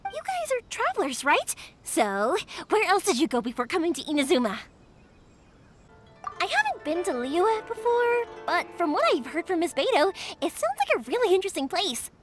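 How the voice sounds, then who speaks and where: A woman voicing a cheerful, talkative young fireworks maker in a video game, bubbly and excitable. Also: very high-pitched, moderate pace, soft background music.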